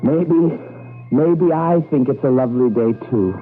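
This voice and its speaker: A guarded, wry man played by an actor in a dystopian radio drama, talking casually with some hesitation. His mid-pitched voice comes through a narrow, phone-like old recording with music behind. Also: measured pace, close to an everyday voice. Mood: wry flirting. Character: wry, guarded male citizen of a regimented future. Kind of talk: 1980s radio drama acting.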